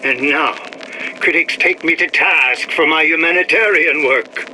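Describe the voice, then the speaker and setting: A man's voice: fairly high pitch, a little slow, sharp tone. An actor voicing a vain, malicious male artist in a video game audio diary, with a florid, grandiloquent delivery. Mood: indignant, menacing. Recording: noisy vintage audio-diary recording.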